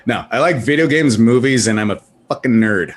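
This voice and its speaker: A man's voice: medium pitch, animated, fairly quick. A man in casual panel chat with a joking, irreverent manner.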